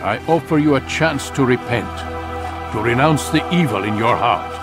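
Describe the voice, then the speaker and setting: An actor playing a stern older master of assassins in a video game, measured, formal and authoritative. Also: male voice, mid-range voice, expressive, over music, lightly acted. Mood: stern judgement. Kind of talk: dramatic video game acting.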